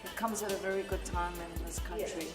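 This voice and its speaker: A woman's voice: lowish voice, fairly even intonation, brisk, far from the mic. A woman with a South African accent, a singer-songwriter being interviewed, speaking earnestly and building to emphatic points. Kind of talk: interview answers. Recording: echoey, off-mic, loud music behind.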